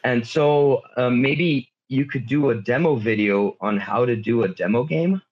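Guest, adult man, mid pitch, easygoing, muffled lo-fi remote audio. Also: a little slow. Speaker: guest from a game company. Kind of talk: casual chat.

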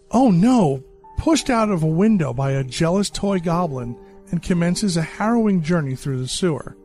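A man's voice: fairly high pitch, darkish tone. A man narrating a short story with wry, arch asides, with faint music behind him.

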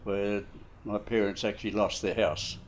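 A man in his nineties with a New Zealand accent, recalling his life in an interview, slow and halting with many fillers. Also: lowish voice, little pitch movement, a little hiss or hum. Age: 90s. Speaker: interviewee, war veteran. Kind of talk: casual reminiscing interview.

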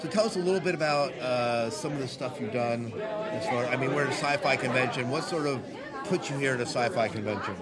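A man's voice: mid-range voice, a little fast, over a noisy crowd. An American man hosting a casual interview in an easygoing, affirming way, at a busy convention. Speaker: podcast host interviewer. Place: science fiction convention. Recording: noisy crowded convention floor.

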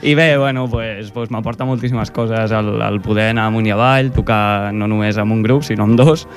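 A laid-back man guesting on a radio comedy show to talk about his bands. He speaks in a somewhat low voice, with faint music behind him. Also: fairly even intonation, normal speed. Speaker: band member guest.

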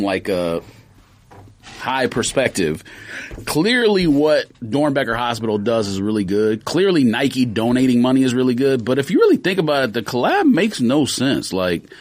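A man's voice: medium pitch, wildly swooping pitch, moderate pace, dry recording. A man co-hosting a sneaker podcast, talking casually and informally as he explains his opinions. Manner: informal, slangy.

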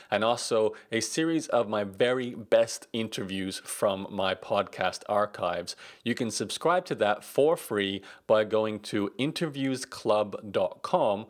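A man hosting a podcast, chatting casually in a friendly, rambling way with frequent restarts. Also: fairly low pitch. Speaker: podcast host. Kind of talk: casual interview chat.

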